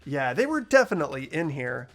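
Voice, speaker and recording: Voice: medium pitch, big pitch swings, a little fast, soft background music. A playthrough host reading a video game story aloud in character, with casual asides.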